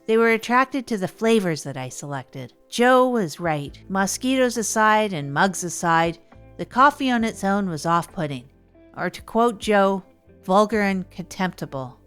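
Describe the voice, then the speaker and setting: A woman's voice: medium pitch, animated, normal speed, fairly bright tone, clear, resonant tone, soft background music, close to an everyday voice. A woman playing an awkward, rambling self-help podcast host in a comedy audio drama, hesitant and often repeating themself. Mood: earnest, rambling. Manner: hesitant, repetitive, flustered.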